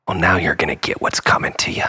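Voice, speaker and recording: A man playing a bitter, manic villain in a comic dramatic monologue, taunting theatrically in a breathy rasp. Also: deep voice, steady tone, quick pace, pushed and bright, heavily acted. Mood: seething. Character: unhinged gloating male villain, bitter and manic.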